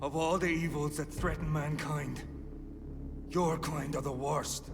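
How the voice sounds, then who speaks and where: An actor playing a defiant, fanatical vampire hunter in a video game, declamatory and scornful. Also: male voice, on the high side, steady tone, a little slow. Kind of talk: dramatic video game acting. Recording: long hall reverb, dramatic music behind.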